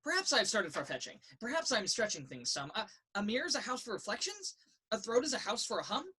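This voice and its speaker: A woman reading a children's picture book aloud in a sing-song, rhythmic way, with a slightly husky voice. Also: fairly high pitch, big pitch swings, quick pace, pushed and bright. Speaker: story reader. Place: indoors in a children's library.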